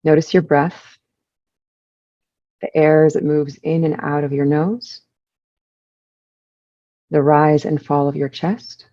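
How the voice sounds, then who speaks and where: Low-pitched woman leading a guided meditation. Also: steady tone, warm tone, lo-fi sound. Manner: measured, with pauses between short phrases. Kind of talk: guided meditation, slow soothing instruction.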